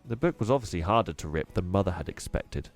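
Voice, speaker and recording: A man reading a children's book aloud in an expressive, animated way. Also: low voice, fast, faint music behind. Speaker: storyteller.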